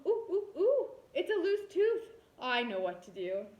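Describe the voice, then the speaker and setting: A woman's voice: very high voice, very animated, measured pace, highs cut off, voice pushed up, heavily acted. A woman voicing a confident storybook grown-up eager to fix things, with exaggerated, matter-of-fact delivery. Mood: fascinated, confident. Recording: roomy echo.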